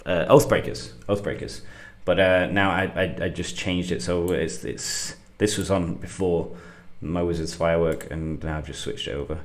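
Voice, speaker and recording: A middle-aged man, getting over a cold and a bit sniffly, talking through a game on a livestream in a halting way, thinking aloud with lots of fillers. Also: low voice, fairly even intonation. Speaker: gaming livestream host. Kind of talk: casual gameplay commentary.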